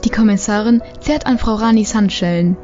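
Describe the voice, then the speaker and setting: A woman narrating an audio drama as a calm, descriptive storyteller. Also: mid-range voice, brisk, faint music behind, lightly acted. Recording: slightly muffled home recording.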